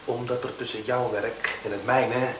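An actor in a radio play as a proud small-time smuggler, curt, indignant and defiant. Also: male voice, medium pitch, steady tone, normal speed, background noise, natural voice. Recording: distant, roomy, muffled old radio recording.

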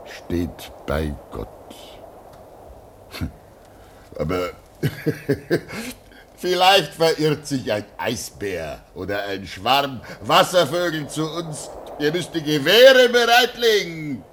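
A slow, fatherly, devout polar researcher. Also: on the high side, big pitch swings, a little hiss or hum, exaggerated voice. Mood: reassuring. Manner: gentle, admonishing, pious. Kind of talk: radio play acting.

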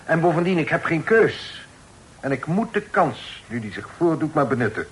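An actor playing a bold, insistent fugitive outlaw in a radio play, emphatic and persuasive. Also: male voice, a little high, big pitch swings, normal speed. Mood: insistent, urgent. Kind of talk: old Dutch radio play acting. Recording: old radio recording with faint noise.

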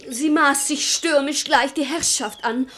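A married lady of a classic radio comedy in verse. Her voice is high and her pace slow and measured. She sounds coy and theatrical, a clever wife feigning reluctance. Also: fairly bright tone, slight background noise. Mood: coy, flustered. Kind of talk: German radio play verse comedy acting.

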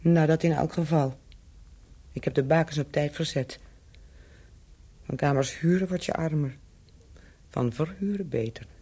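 Slow, husky, world-weary woman. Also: deep voice, warm tone, heavily acted. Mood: mocking. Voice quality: husky, breathy voice, often dropping to a whisper. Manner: slow, deliberate, bittersweet and mocking. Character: world-weary cynical ex-prostitute and former spy, now hotel keeper. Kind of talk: intimate radio play acting.

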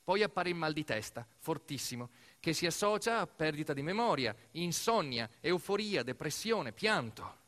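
A man performs a dramatic narrative monologue as an actor, telling the story deliberately in short, weighted phrases. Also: on the high side, lively intonation, fairly bright tone. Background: music.

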